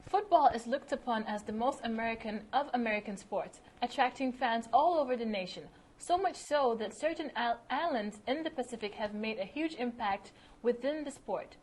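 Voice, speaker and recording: A woman reading scripted news as a student anchor in a college TV studio, with a steady, clear delivery. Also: a little high, moderate pace.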